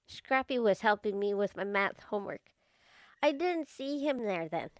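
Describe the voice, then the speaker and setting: A woman's voice: medium pitch, animated, moderate pace, no room sound, natural voice. A woman playing an earnest young scrapyard girl in an old-time radio mystery, chatty and naive with folksy kid phrasing. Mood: relieved.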